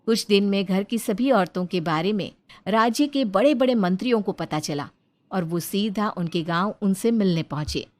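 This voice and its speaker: A woman's voice: mid-range voice, normal speed. Woman narrating a scripted story.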